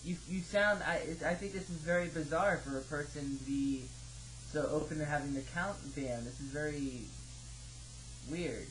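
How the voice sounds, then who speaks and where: A man in his twenties talking haltingly and questioningly, like a customer service caller on a phone call. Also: on the high side, fairly bright tone. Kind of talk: hesitant phone conversation. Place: home office, indoors. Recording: noisy home computer microphone recording.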